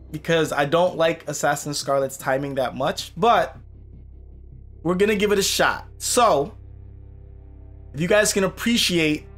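A young man commentating on a mobile fighting game, excitable and losing his voice, seated at a home gaming setup. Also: fairly high pitch. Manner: excitable, swings from muttering to yelling at the game. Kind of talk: casual gameplay commentary.

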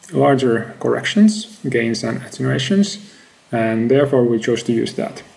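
A man in his thirties with a creaky voice gives a measured, matter-of-fact research presentation in halting phrases. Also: mid-range voice, darkish tone, poor audio. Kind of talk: scripted academic slide presentation.

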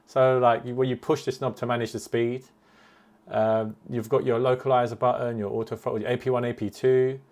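Casual man talking through a product. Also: medium pitch. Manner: informal, conversational, thinking aloud. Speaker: flight sim video host. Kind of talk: casual product commentary over a screen recording.

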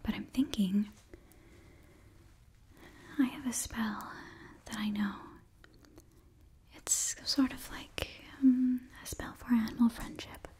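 A young woman playing an earnest, flustered witch librarian in a whispered, breathy ASMR roleplay, speaking haltingly close to the microphone. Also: fairly high pitch, steady tone. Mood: apologetic, earnest. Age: young adult. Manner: hushed, halting, fussy. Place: small indoor set, close to microphone.

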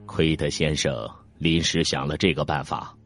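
A man acts out a calm merchant leader in a historical audiobook. His voice sits extremely low, and he speaks with measured, deferential respect over music. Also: dry recording. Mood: respectful. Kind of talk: audiobook character acting in a period drama.

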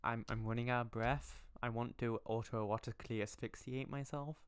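A man's voice: medium pitch, fairly even intonation, moderate pace, clean tone. A man streaming a mocking read-aloud of fan fiction, with sarcastic asides, stumbling over words, close on a desk microphone.

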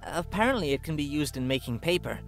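A woman voicing a calm, menacing male kidnapper in a horror visual novel, in a hushed, measured way. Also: deep voice, voice pushed down. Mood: calm, explaining. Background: game music.

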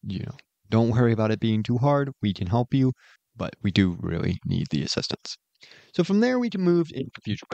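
A man's voice: fairly low pitch, sing-song, a little fast, gravelly, darkish tone, no room sound. A man giving an informal voice-over progress update as a game mod developer, recorded close on a slightly dull microphone.

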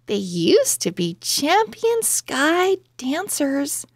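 A woman reading a children's storybook aloud in a deliberate, expressive way meant for young listeners. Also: high voice, vocal fry, husky, no echo, studio quality. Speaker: children's book reader. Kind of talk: read-aloud storybook narration.

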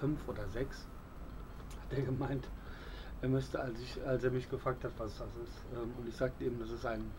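A middle-aged man casually showing off his first-aid kit outdoors, with a relaxed, hesitant delivery and plenty of fillers. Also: medium pitch, normal speed, noisy background. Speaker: bushcraft video host. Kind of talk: casual show-and-tell. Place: outdoors on a patio by a wall.

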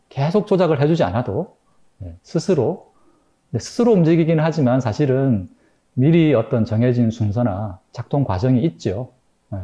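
A man in his forties giving a public lecture from a lectern, thoughtful and hesitant with many fillers and pauses. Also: mid-range voice, mellow, muffled recording. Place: gallery lecture room with projector. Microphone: microphone stand at lectern.

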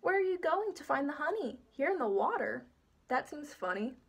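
A woman's voice: high voice, very animated, moderate pace. A woman voicing a small, eager young bear cub who keeps asking questions in a storybook reading. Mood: curious.

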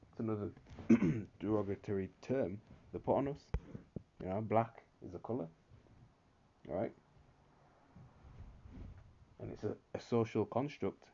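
A man's voice: on the low side, mellow, muffled recording. A man giving preachy religious commentary over a screen recording, checking in with "all right?" over and over. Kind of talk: screen-recorded commentary reading articles and scripture.

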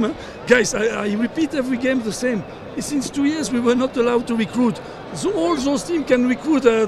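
An older man coaching football, speaking at a post-match press scrum outdoors, halting with many fillers and repeated words. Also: high-pitched. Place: outdoors at a sponsor backdrop, surrounded by reporters. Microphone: cluster of handheld reporter mics and phones.

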